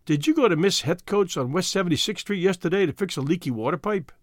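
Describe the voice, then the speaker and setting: A man voicing a calm, fair-dealing police detective in a storybook, measured and plain-spoken. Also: high voice, dry recording, studio quality. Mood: calm, firm.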